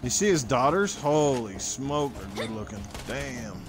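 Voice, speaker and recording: A man's voice: medium pitch, lively intonation, a little slow. A man hosting a podcast, chatting casually and joking while reading out chat comments. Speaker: podcast host.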